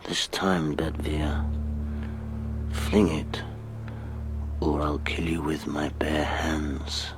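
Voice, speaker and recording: Radio play role: grim commanding warrior, extremely low, slow, terse and menacing. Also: male voice, level tone, over music, full character voice. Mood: quiet menace. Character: grim, suspicious, threatening male warrior in command. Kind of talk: radio drama acting.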